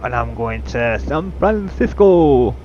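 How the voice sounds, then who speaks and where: A man's voice: medium pitch, slow, lo-fi sound, a little hiss or hum. A young man from Yorkshire, chatting and joking on a headset while streaming a driving game. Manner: lively, joking, protests innocence. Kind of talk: casual gaming chat.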